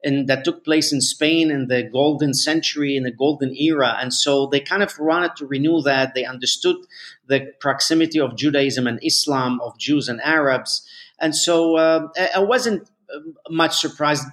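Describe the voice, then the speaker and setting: Halting, measured rabbi, Lebanese-born man. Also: on the high side, fairly bright tone. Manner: measured, halting with many restarts and fillers. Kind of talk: interview answers.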